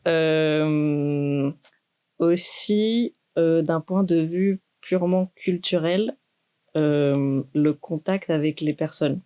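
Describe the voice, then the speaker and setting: A young woman, a doctoral student speaking in a thoughtful, measured way with many pauses in a university podcast interview. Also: low voice, mellow, smooth. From Greece. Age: 20s. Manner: measured, thoughtful, with frequent hesitations.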